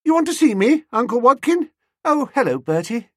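Casting: male reader as merry young niece, high-pitched, coy and gushing, lilting swoops, comic storybook. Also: big pitch swings, moderate pace, a little mellow, dry recording, studio quality, full character voice. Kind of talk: comic audiobook character.

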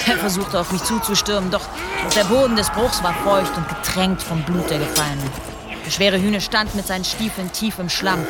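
A woman's voice: lowish voice, natural voice. Battle-hardened female warrior played by an actress, recounting combat in a radio drama over prominent music. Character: battle-hardened medieval warrior woman recounting her fights. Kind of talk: dramatic first-person narration in an audio drama. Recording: music under the voice.

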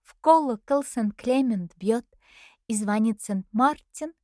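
An actress playing an eerie, mocking female voice inside a prisoner's head in an audio drama, taunting slowly. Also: on the high side, dark tone, dry recording, studio quality. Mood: eerie, singsong. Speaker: actor.

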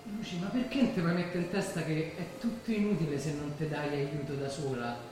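A young actor with a Roman accent playing a devoted, boastful working-class boyfriend in a stage drama, colloquial and earnest. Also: male voice, a little high, brisk, far from the mic, lightly acted. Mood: gentle firmness. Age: young adult. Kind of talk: naturalistic stage drama acting. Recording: very echoey hall from afar, faint noise.

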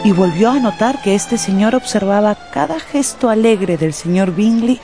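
A woman narrating a literary radio drama in an expressive, deliberate storytelling style. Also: medium pitch, music in the background.

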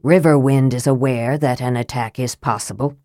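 A woman's voice: extremely low pitch, moderate pace, no room sound, pitched below the performer's own voice. A woman doing a dry, sardonic young wizard. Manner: dry, flat and clipped. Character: cold, sardonic young male mage, curt.

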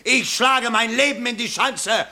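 An actor playing an excitable male political candidate with a theatrical, declamatory manner in a 1970s German radio play. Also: very high-pitched, unhurried, heavily acted. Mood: agitated, pleading.

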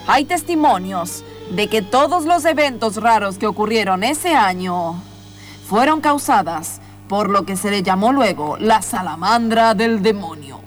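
A woman playing a mock-serious documentary presenter, explaining mysteries in improvised comedic radio theatre. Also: fairly high pitch.

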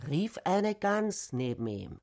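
A woman performing in a radio play, narrating and acting with a deliberate, drawn-out delivery. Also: fairly low pitch, sing-song, a little mellow, full character voice.

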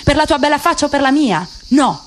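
A woman's voice: sits high, animated, a little fast, slight background noise. A woman reading a bitter, grave returning exile. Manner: grave and resentful. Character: bitter middle-aged Palestinian man returning home. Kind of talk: radio play reading.

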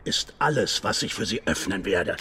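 An actor playing a nervous, hostile older Soviet undertaker in a radio crime drama, tense and defiant, with a hoarse voice. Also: male voice, low voice, expressive, normal speed, bright, forceful tone, slight background noise. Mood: defiant, frightened.

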